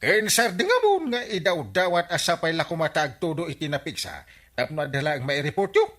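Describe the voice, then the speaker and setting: A man's voice: on the high side, very animated, a little fast, a bit bright. A man with an Ilocano Filipino accent playing a scolding, teasing village captain in a radio comedy drama.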